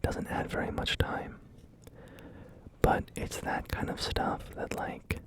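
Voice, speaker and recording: A man whispering through a relaxed ASMR gaming video, rambling and joking about himself with many fillers. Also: slow. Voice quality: soft unvoiced whisper. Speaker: ASMR creator.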